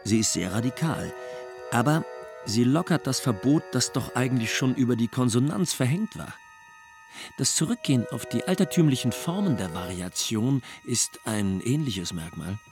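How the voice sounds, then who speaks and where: An actor playing a sceptical, courteous humanist scholar in a German radio drama, questioning in a measured and precise way. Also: male voice, mid-range voice, lively intonation, gravelly, music in the background. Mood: probing. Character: sceptical, learned humanist friend, courteous and probing.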